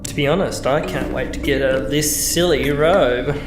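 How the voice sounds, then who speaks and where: A man's voice: medium pitch, moderate pace, close to an everyday voice. A man playing an eager young male student time traveller in an amateur audio drama. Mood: upbeat, curious. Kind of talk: fan audio drama acting. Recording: roomy echo, music underneath.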